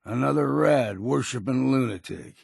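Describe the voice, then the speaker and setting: An actor playing a gruff, stubborn old hunter from a harbor town in a video game, with a rasping voice and a folksy, blunt way of talking. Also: male voice, mid-range voice, normal speed, no echo, studio quality. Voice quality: gravelly, rasping. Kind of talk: video game character acting.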